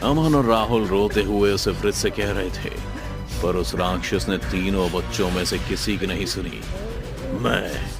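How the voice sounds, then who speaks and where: A man's voice: low-pitched, steady tone, normal speed. A man narrating a scripted horror story as a storyteller. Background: music.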